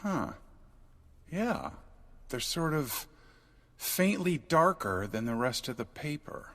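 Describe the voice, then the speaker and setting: An actor playing a reluctant, grumbling sidekick in a radio drama, skeptical and wry. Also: male voice, on the high side, sing-song, takes it slowly, fairly bright tone, heavily acted. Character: reluctant, grumbling male sidekick to a police commissioner.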